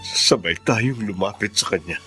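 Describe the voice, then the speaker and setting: An actor playing a humble, remorseful man recovering from illness, gentle and halting, in a Filipino radio drama. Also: fairly low pitch, soft background music. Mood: earnest, pleading.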